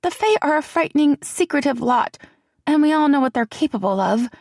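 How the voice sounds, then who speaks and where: A woman voicing a frightened young woman who speaks high and breathlessly to her friend. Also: lively intonation, fairly quick, a little mellow, no room sound, clean studio sound. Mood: eager.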